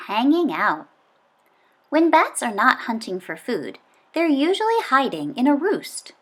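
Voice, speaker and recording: A woman reading a children's nonfiction book aloud, expressively and with clear phrasing for young listeners. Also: high voice, big pitch swings, a little slow.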